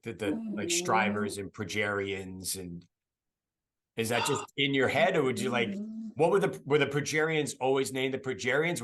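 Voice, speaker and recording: This is a middle-aged man with a Boston accent, talking casually as a podcast host. He fires off quick, prodding questions and laughs easily, at an ordinary middle pitch. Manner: chatty, laughs often, prods with quick questions. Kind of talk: casual interview chat.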